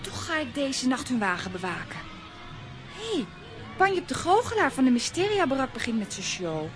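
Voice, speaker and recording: A woman's voice: high-pitched, very animated, normal speed, over music. An actress playing a sassy, stubborn, eager little girl in a children's radio play. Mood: suspicious, eager. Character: feisty, stubborn, curious little girl heroine.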